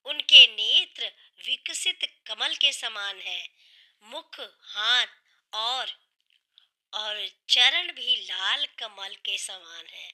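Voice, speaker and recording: A woman with a sore, hoarse throat, earnestly explaining a devotional hymn line by line and patiently restating each meaning. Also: fairly high pitch, animated, very slow, sharp tone, low-quality recording. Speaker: devotional singer and channel host.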